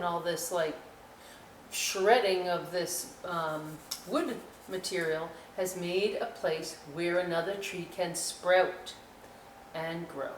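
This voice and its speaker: A woman speaks to young children as a storytime host, in a folksy, expressive and chatty way. Her pace is leisurely and her pitch sits in a middle range. She is picked up from some distance, with clear room echo around her voice. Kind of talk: children's read-aloud and craft demonstration with casual asides. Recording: roomy echo, distant mic, faint noise.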